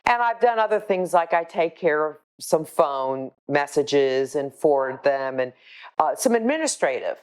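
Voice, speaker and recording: An older woman with a low voice, a shelter volunteer speaking earnestly and firmly about her work in casual talk to camera. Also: lively intonation, clean tone. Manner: earnest, deliberate, firm. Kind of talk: casual spoken account to camera, showing photos.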